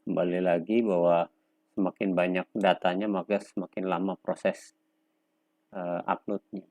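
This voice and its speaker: A man presenting an unscripted software tutorial step by step, speaking in a halting, instructional way with pauses between short phrases. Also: low-pitched, level tone, no room sound, phone-quality sound. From Indonesia. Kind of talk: unscripted step-by-step screen demonstration.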